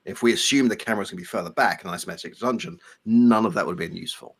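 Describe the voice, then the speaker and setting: A man's voice: lowish voice, racing, a bit bright, husky. A man from Belgium whose first language is French, co-hosting a casual live stream and explaining things in a digressive way. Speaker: livestream co-host, game asset developer.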